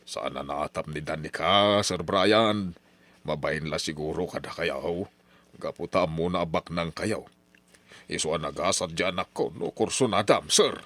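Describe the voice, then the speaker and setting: Radio drama father, middle-aged poor farmer, Ilocano accent, low voice, bossy, grumbling schemer. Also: a little slow, fairly bright tone. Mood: fawning. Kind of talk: old radio drama acting.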